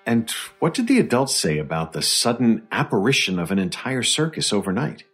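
A patient doctor asking probing questions, raspy, up and down intonation, mild, radio drama with faint music. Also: male voice, medium pitch, big pitch swings, brisk. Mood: probing, measured. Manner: coaxing, mild, inquisitive. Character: patient, probing male doctor interviewing a witness. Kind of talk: audio drama acting, investigative interview.

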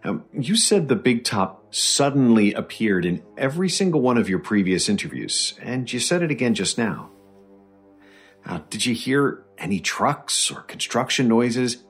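An actor playing a patient doctor who gently coaxes and questions a witness in an audio drama. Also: male voice, mid-range voice, sing-song, moderate pace, slightly rough, faint music behind. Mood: probing, measured. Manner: coaxing, mild, inquisitive. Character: patient, probing male doctor interviewing a witness. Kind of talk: audio drama acting, investigative interview.

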